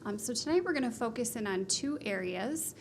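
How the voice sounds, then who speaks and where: A soft-spoken woman presenting budget figures to a city council, polished with occasional ums. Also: fairly high pitch, animated, a little fast. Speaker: finance consultant presenting. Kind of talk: formal presentation. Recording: noisy meeting room recording.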